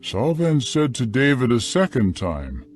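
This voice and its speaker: A man narrating a dramatized scripture account in a measured, deliberate storytelling style. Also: mid-range voice, sing-song, a little mellow, faint music behind.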